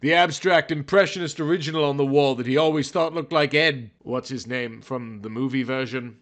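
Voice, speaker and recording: A man narrating a story in a theatrical, brooding, overwrought style, as if every line were high drama. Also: normal speed, a bit bright.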